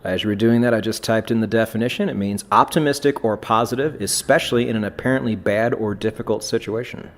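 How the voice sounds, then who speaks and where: A man's voice: on the low side, fast talker, hardly any pauses. A man hosting a trading podcast, talking in a blunt, emphatic way with casual swearing. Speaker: podcast host.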